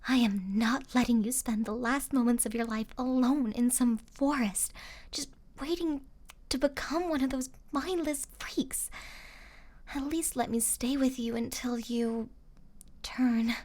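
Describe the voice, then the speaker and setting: A woman's voice: a little high, unhurried, a little mellow. A woman playing a loyal, distraught young survivor of a zombie apocalypse in an ASMR roleplay, with an emotional, pleading delivery. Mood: pleading, upset.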